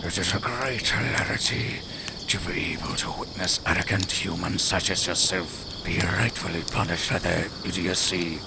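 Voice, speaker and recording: A man's voice: deep voice, steady tone, moderate pace, very noisy. A man voicing a haughty, sardonic lone werewolf in an audio roleplay, speaking to the listener in formal, condescending, theatrical phrases. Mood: mocking, menacing. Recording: night forest ambience with crickets, clearly audible. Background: outdoor forest sounds, crickets.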